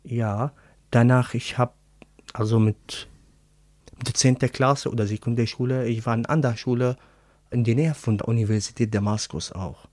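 A man with an Arabic accent, a native Arabic speaker, answering interview questions in halting, word-searching German. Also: medium pitch, fairly even intonation, darkish tone, dry recording. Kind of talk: casual interview.

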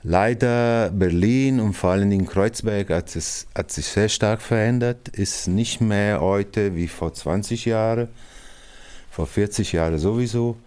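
A middle-aged man from Naples with an Italian accent, a multimedia artist and artistic director, answering interview questions in non-native German with many pauses and fillers. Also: on the low side, a little mellow, clear, resonant tone. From Italy, Naples. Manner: hesitant, searching for words, frequent fillers. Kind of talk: interview answer addressed to young listeners.

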